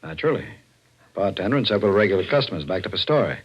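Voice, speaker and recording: An actor playing a blunt, skeptical police detective with a brusque, matter-of-fact delivery in a 1940s radio mystery. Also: male voice, fairly low pitch, expressive, racing, slightly rough. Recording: dull, muffled old radio recording.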